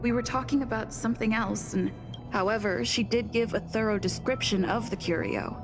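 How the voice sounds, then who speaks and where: A woman's voice: fairly high pitch, normal speed, over music, lightly acted. A woman voicing a candid young woman in a video game, earnest, plainspoken and defensive.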